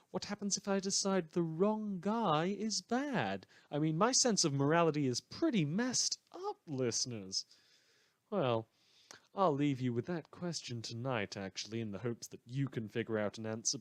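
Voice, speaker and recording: Man playing sarcastic radio host, animated pitch. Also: moderate pace, dry recording, lightly acted. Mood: puzzled, wry. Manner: rhetorical questions, sarcastic, self-correcting asides. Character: chatty, morally muddled radio host in a superhero world. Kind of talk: fictional radio talk show monologue.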